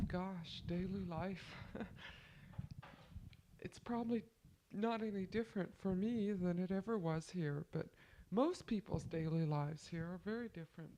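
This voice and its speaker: A woman's voice: on the low side, warm tone, slight background noise, low-quality recording. Chatty woman with a stuffy nose. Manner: chatty, rambling, musing aloud. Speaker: family member sending a taped letter. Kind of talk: casual spoken letter. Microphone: home cassette recorder.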